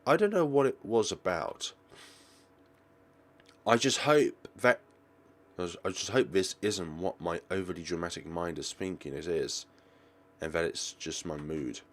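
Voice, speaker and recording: A man hosting a let's play, reading visual novel narration aloud in a theatrical, expressive way. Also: low-pitched, measured pace, pauses often.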